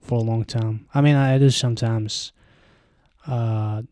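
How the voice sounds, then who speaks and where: Young Bulgarian-accented guy streaming from home, unhurried, dry close handheld mic, ums and restarts. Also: mid-range voice, fairly even intonation, dark tone, no room sound. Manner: rambling, hesitant, many fillers and restarts. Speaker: live streamer. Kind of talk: casual live stream chat. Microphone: handheld microphone close to mouth.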